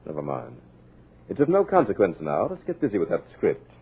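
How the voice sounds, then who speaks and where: A cultured villain with a husky, low voice, his speech swooping between silky calm and sudden threat. His mocking manner stays measured and polite. He comes through an old radio play recording. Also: heavily acted. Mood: menacing. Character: sinister, cultured male villain holding a writer captive. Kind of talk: 1950s radio drama acting.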